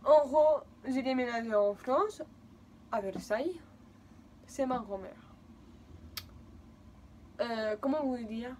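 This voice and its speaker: A woman's voice: fairly high pitch, slow pace, pauses often, mellow, a little hiss or hum. A woman in her twenties from Spain, speaking French with a Spanish accent, a native Spanish speaker telling a rambling personal story in a vlog. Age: 20s. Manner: rambling, repetitive, emotional. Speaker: vlogger.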